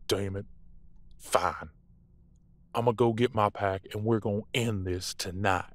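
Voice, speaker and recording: A man playing a surly, distrustful werewolf in an audio roleplay, gruff and confrontational. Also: lowish voice, fairly even intonation, a little slow, no room sound, close to an everyday voice. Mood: grudging. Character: surly, distrustful male werewolf pack member.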